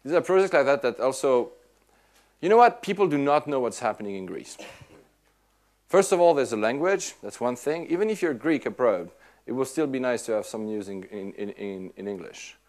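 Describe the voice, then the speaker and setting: Swiss guy at a tech q&a, animated, lots of restarts, middle pitch. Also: normal speed. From Switzerland. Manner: animated, many restarts and repeats. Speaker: tech speaker answering questions. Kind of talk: casual Q&A talk. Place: lecture room at an institute.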